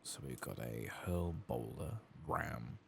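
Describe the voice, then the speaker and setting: A man giving soft-spoken ASMR commentary while gaming, murmuring and drifting into whispers. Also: very deep voice, expressive, takes it slowly, vocal fry, a little hiss or hum. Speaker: gaming streamer, ASMR host.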